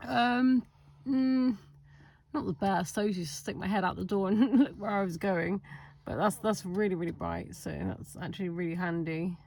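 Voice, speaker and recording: A woman with a cold and a hoarse voice, making brief casual remarks outside at night beside a van. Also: medium pitch, normal speed. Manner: brief remarks, laughs softly. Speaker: co-host partner. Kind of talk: casual vlog chat.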